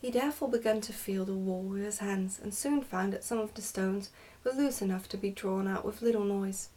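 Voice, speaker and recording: A woman reading a storybook aloud in a soft, careful, partly whispered ASMR style. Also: medium pitch, moderate pace, far from the mic.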